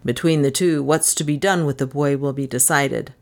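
A woman voicing a big, suspicious, self-satisfied policeman with a gruff, folksy way of talking in a storybook. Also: rumbling low, moderate pace, voice pushed down. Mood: stern, smug. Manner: folksy, colloquial, gloating.

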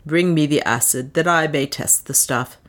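A woman's voice: low voice, moderate pace, natural voice. A woman voicing a brutal, greedy male slave-trader boss in an audiobook, mocking, blustering and commanding. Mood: sly satisfaction. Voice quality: hoarse when enraged.

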